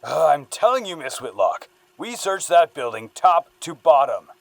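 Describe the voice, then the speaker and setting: An actor plays a stern police interviewer in an audio drama. The pitch moves widely around a middling register, and the sound is close and dry. The manner is measured, official and probing. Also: very animated, gravelly, no room sound. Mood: scornful, accusing. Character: stern police interviewer, patient then scornful, taking a statement. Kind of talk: dramatic audio fiction acting.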